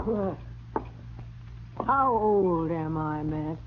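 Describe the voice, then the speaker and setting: An American actress playing a shrewd, teasing older saloon keeper in an old-time radio drama, folksy and wry. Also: female voice, low-pitched, animated, extremely slow, full character voice. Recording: muffled, noisy old radio recording.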